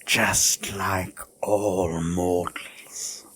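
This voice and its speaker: A man playing an ancient, towering serpentine demon king in a fantasy audio drama, regal and leering, with a breathy, drawn-out, menacingly teasing delivery. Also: low-pitched, little pitch movement, a bit bright, a little hiss or hum, heavily acted. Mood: savoring, menacing. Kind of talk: fantasy audio roleplay acting.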